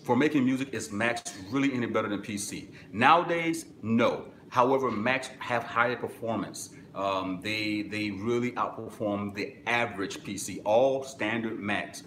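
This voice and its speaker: A middle-aged man with a deep voice, hosting a live-stream webinar and giving direct, instructive answers to viewer questions from a small office. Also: moderate pace, few pauses, a bit bright, reverberant, slight background noise. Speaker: music business webinar host. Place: small office with corkboard, indoors.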